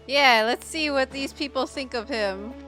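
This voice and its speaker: A woman's voice: sits high, a little slow, pushed and bright. A woman from the Philippines streaming a game, giving casual, playful live commentary in short reactive exclamations. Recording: game music behind the voice.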